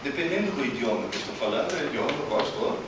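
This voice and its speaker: A man giving a workshop lecture to an audience, animated and emphatic, with many rhetorical questions, speaking Portuguese as a learned language. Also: mid-range voice, very fast. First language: not Portuguese. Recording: echoey hall from far off, noisy.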